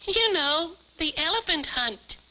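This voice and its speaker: Perky, piercingly bright little alien puppet. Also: female voice, very high-pitched, animated, a little slow, exaggerated voice. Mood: curious, perky. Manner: quaint exclamations, eager and earnest. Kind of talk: 1950s television puppet show acting. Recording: muffled, hissy 1950s television soundtrack.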